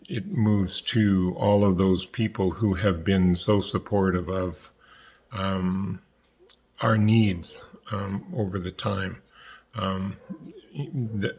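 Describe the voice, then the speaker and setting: A man giving an unscripted personal reflection, hesitant and pausing often as he searches for words. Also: low-pitched, slightly rough. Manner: halting, hesitant, frequent pauses.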